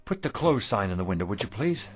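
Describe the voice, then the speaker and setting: An actor playing a kindly, generous older shopkeeper and husband in a radio drama, with a folksy manner. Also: male voice, on the low side, big pitch swings, quick pace, over music. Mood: content, affectionate.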